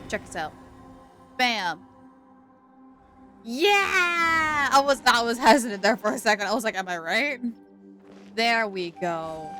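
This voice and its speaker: A woman's voice: a little high, pushed and bright. A woman streaming a game live, chatting to herself as she works out puzzles. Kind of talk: live gameplay commentary.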